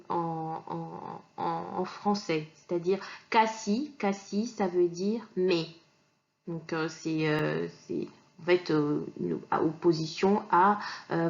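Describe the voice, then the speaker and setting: A woman's voice: lowish voice, darkish tone, lo-fi sound. A woman from Kinshasa teaching an online language lesson, explaining patiently with many fillers and restarts. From Kinshasa, DR Congo.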